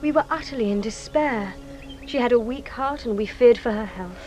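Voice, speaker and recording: A well-bred young woman , played by an actress in a radio drama. She speaks in a slightly high voice with measured, polite formality. Quiet music sits behind her. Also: close to an everyday voice. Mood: grave, confiding. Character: composed, genteel young lady of a wealthy household. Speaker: actress playing a young lady of the house.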